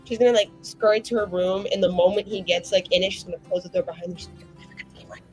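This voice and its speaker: Excitable woman tabletop player. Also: medium pitch, fast, faint music behind, poor audio. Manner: excitable, swearing, many fillers. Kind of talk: describing her character's actions in a tabletop game.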